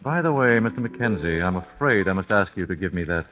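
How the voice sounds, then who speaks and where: A man's voice: deep voice, expressive, fast talker, faint music behind. An actor playing an older, formal, coldly polite father bent on vengeance in an old-time radio thriller. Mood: courteous, controlled. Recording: muffled old radio broadcast, phone-like.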